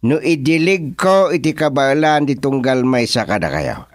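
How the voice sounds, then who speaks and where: A man with an Ilocano accent playing an authoritative middle-aged village chairman in a radio comedy-drama, speaking in a deliberate, speechifying way. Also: mid-range voice, animated, dry recording, lightly acted. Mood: measured, authoritative.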